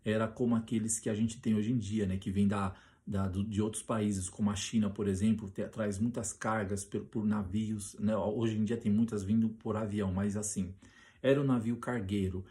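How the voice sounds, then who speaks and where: A Brazilian man preaching on a Bible passage, often restarting his sentences and slowing down to read scripture aloud with emphasis. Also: on the low side, steady tone. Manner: hesitant with many restarts, reads scripture slowly and loudly.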